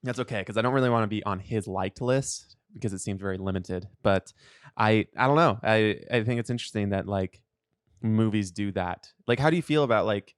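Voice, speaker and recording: A man co-hosting a podcast, chatting informally and telling stories in an animated, giggly way. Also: on the low side, brisk, clear, resonant tone, no echo, clean studio sound. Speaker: podcast co-host. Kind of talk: casual chat. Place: podcast studio.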